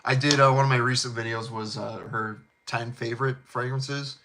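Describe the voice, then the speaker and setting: A man's voice: medium pitch, fairly even intonation, normal speed, noisy background. A middle-aged man with a thick Chicago South Side accent, chatting casually about fragrances in a rambling, chummy way. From Chicago, USA. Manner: laid-back, rambling, chummy, with fillers and laughs. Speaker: fragrance channel host. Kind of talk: casual live chat.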